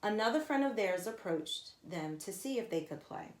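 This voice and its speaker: A woman reading a children's story aloud like a teacher, with emphatic, expressive narration addressed to young listeners. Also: mid-range voice, moderate pace. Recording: echoey, roomy sound.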